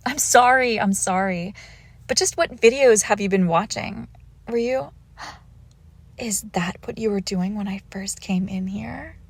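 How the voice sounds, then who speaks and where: Woman acting a teasing, haughty young vampire, slightly creaky. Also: mid-range voice, a little slow, no room sound. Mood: playful, smug. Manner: teasing, coy, entitled. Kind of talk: intimate roleplay audio acting.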